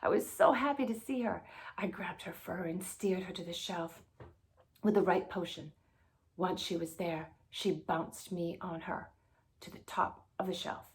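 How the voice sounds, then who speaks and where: A woman reading a children's spooky story aloud in an expressive storybook style. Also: fairly low pitch, a little slow. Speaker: guest story reader.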